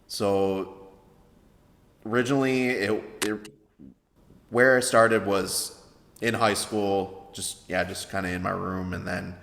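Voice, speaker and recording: Low gravelly man in an echoey room. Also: a bit bright. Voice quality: baritone voice. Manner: hesitant, many fillers and restarts. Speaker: interview guest, veteran songwriter. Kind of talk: casual interview answers. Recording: echoey room with long reverb.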